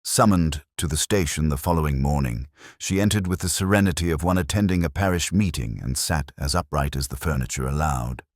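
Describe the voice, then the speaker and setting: A man narrating a cozy mystery in a wry, unhurried storytelling manner. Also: very deep voice, dry recording, clean studio sound.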